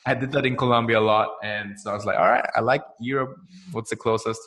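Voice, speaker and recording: Austrian-born man, lower voice, chatty. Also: fast. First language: German. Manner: energetic, chatty, frequent "right?" tags and laughs. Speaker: guest, marketing founder. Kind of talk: casual podcast interview.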